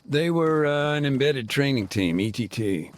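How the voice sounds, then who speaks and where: An actor playing a weary male aid worker who recounts events in a measured, laconic way during an interview in a video game. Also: medium pitch, animated, slight background noise, lightly acted. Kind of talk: game cutscene dialogue.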